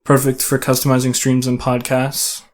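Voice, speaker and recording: A man's voice: medium pitch, completely flat delivery, normal speed, slight background noise. A man casually wrapping up a product review, speaking offhandedly into a better microphone. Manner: casual, offhand, thinking aloud. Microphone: better studio microphone.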